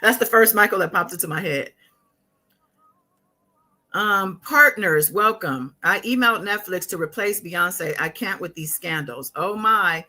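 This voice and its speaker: A woman hosting a casual live stream, talking through viewer comments in a conversational, halting way as she thinks aloud. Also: lowish voice, bright, forceful tone.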